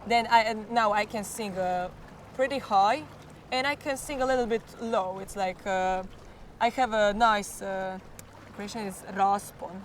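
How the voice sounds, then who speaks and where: Hoarse Croatian teenage girl, halting. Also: medium pitch, a little hiss or hum. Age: teens. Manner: halting, searching for English words. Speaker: klapa singer. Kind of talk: interview answers.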